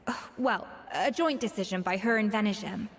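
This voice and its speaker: A woman's voice: medium pitch, brisk, big-room sound, background noise, close to an everyday voice. A woman voicing a composed female head chef who speaks in a matter-of-fact, dryly dismissive way in a game.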